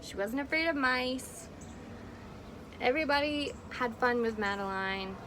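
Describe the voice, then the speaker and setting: A woman teacher doing an interactive picture-book read-aloud for young children, expressive and encouraging. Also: high voice, a little slow. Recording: clearly noisy background.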